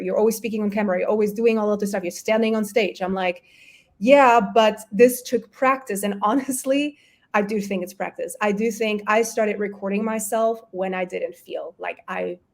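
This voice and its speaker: A woman whose first languages are German and Polish, talking casually and chattily as an interview guest, acting out her own self-talk. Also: medium pitch. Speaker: guest, health coach and entrepreneur.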